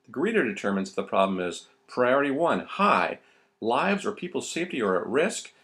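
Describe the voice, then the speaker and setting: A man's voice: mid-range voice, sing-song, normal speed, fairly bright tone. A man reading scripted narration for an instructional video.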